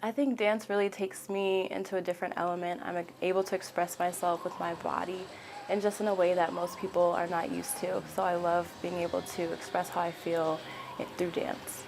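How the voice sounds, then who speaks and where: A woman from Northern Virginia who is a cheerleader, speaking in a thoughtful, expressive way in an interview. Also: fairly low pitch, moderate pace, gravelly, noisy background. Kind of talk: interview testimony.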